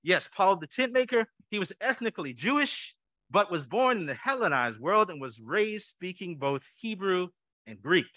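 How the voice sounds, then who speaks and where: A man's voice: sits high, sing-song, normal speed, sharp tone, no echo. A man preaching a conversational sermon to his church, asking the congregation questions and adding light jokes. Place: church sanctuary.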